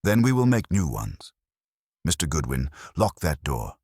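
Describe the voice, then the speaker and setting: An imperious master detective of audiobook mystery, as a male narrator plays him, grave and very deep. The voice is husky, and he speaks in formal, commanding sentences that swing widely in pitch. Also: big pitch swings, unhurried, a little mellow, dry recording, studio quality, full character voice. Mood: commanding, deliberate.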